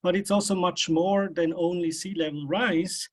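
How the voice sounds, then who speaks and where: A man giving an academic slide talk as a scientist, explaining methodically with frequent hesitant pauses. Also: sits high, fairly even intonation. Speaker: scientist presenting research.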